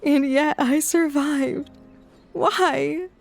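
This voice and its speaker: A woman's voice: sits high, drawn-out words, gravelly, soft background music, full character voice. A woman playing an anguished young woman voicing guilt-laden inner thoughts in an audio drama. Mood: anguished, remorseful.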